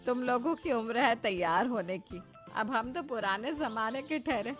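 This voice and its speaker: A woman's voice: a little high, a little slow, a bit bright, soft background music. A woman voicing a kindly, old-fashioned older mother-in-law in a cartoon, giving motherly, folksy advice. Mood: folksy, cheerful.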